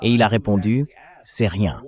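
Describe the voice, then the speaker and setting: A man's voice: on the low side, normal speed, mellow. A man hosting a political commentary show in a French translated voice-over, speaking critically with incredulous rhetorical turns.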